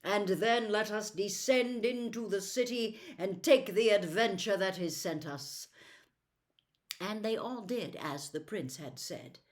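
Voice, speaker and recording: Slow, measured woman storybook reader. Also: mid-range voice. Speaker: audiobook reader. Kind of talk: scripted storybook narration.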